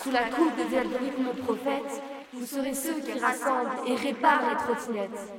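A woman's voice: high voice, moderate pace. A woman playing a solemn, militant female reindeer giving a poetic, declamatory rally speech in an audio drama, with long reverb. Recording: echoey hall, distant, crowd behind.

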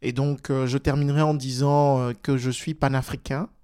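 A man's voice: mid-range voice, flat delivery, moderate pace, warm tone, clear, resonant tone. A man from Togo, speaking as an interview guest, hesitant and full of fillers and restarts. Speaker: guest, politician and teacher. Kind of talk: casual interview talk.

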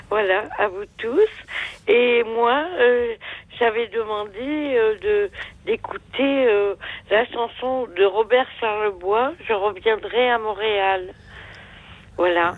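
Caller: woman, 60s, slow, meandering talk full of fillers, dull phone-line sound. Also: medium pitch, dry recording. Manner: rambling, hesitant, many fillers. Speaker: radio caller. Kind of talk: phone-in chat.

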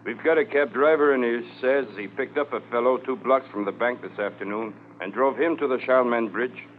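A man's voice: mid-range voice, a little fast, phone-quality sound, lightly acted. An actor playing a businesslike city policeman phoning in a report in a 1950s radio drama. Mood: matter-of-fact. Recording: thin, faintly noisy 1950s radio transcription.

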